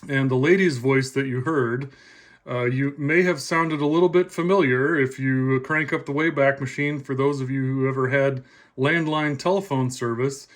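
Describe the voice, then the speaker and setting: A man's voice: medium pitch, moderate pace. A man giving a casual, plainspoken explanation to viewers, like a hobbyist presenting a demonstration.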